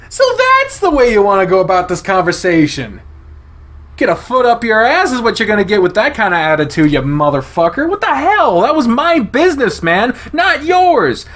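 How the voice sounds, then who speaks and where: A man voicing a flustered, indignant young man who yells in shrill exasperation. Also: big pitch swings, normal speed, exaggerated voice. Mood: outraged.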